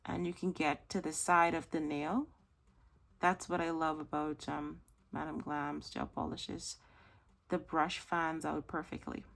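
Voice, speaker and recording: A woman hosting a nail art tutorial, chatty and gushing, slightly hoarse as she loses her voice. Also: sits low, flat delivery, measured pace, fairly bright tone. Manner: chatty, gushing, self-correcting. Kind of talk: casual tutorial chat.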